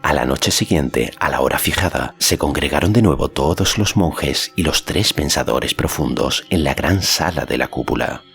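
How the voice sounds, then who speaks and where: A man's voice: very deep voice, animated, normal speed, vocal fry, hardly any pauses, fairly bright tone. A man reading a fantasy story as an audiobook narrator, in a scripted narration style. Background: faint music.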